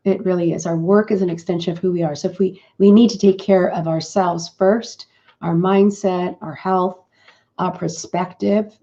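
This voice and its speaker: Croaky, gravelly woman with a low, mellow voice. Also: steady tone, moderate pace. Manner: chatty and rambling, with fillers and restarts. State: croaky morning voice. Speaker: host of a live business broadcast. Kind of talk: casual live-stream talk. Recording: dull lo-fi stream audio.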